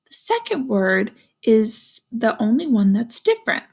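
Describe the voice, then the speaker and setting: A sensible storybook girl, voiced by a woman, earnestly puzzling things out. Her voice is fairly high and very expressive, with a breathy, husky edge. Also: a little slow, full character voice. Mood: puzzled, earnest. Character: young girl, sensible, puzzled dog owner working out mysteries. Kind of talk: children's storybook read-aloud.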